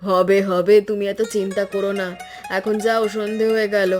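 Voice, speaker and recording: A woman's voice: mid-range voice, little pitch movement, moderate pace, darkish tone, faint music behind, lightly acted. A woman voicing a worried, ailing elderly mother in a cartoon story. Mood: placating. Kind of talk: animated story voice acting.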